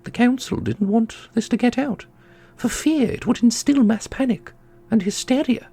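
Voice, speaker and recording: A woman playing an ancient female custodian in a fantasy audio drama, dignified and sorrowful, speaking in a formal, measured, gentle way. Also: fairly high pitch, creaky, slightly rough, soft background music. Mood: sorrowful, solemn.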